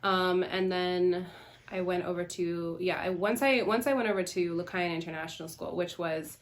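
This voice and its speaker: A woman's voice: fairly low pitch, steady tone, moderate pace. A young woman from the Bahamas answering interview questions about herself in a conversational, reflective way. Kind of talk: candid personal interview.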